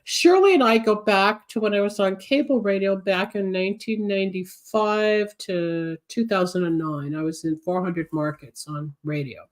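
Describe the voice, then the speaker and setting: A woman's voice: mid-range voice, moderate pace, few pauses. A woman in her seventies hosting a psychic advice show, talking at length and rambling from topic to topic, congested and getting over a cold. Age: 70s. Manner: chatty, rambling, switches topics, gives advice. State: recovering from a cold, coughing. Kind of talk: solo live show monologue, reading viewer comments.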